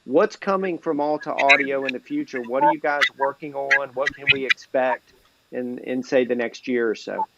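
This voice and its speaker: A man's voice: medium pitch, steady tone, moderate pace. A man hosting a conversational interview as a magazine editor. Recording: dull lo-fi sound with clear noise.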